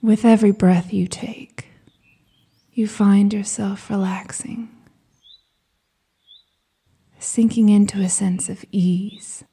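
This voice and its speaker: A woman with a soft, breathy, whispery voice guiding a scripted meditation in a soothing, gentle manner with deliberate pauses. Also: mid-range voice, steady tone. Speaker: hypnosis and meditation guide.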